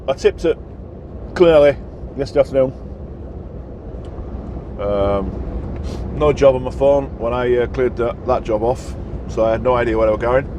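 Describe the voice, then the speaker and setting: A croaky man talks to camera from the cab of his moving truck, chatty and rambling with plenty of "uh"s. His pitch sits in the ordinary middle range for a man. The engine and road hum stay clearly audible under him. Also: a little mellow. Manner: chatty, rambling, frequent "uh" fillers. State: husky, croaky. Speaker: truck driver vlogger. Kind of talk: casual vlog chat while driving.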